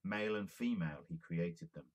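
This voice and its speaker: A man giving a solemn, measured reading of scripture with emphatic phrasing. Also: sits low. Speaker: amateur Bible reader.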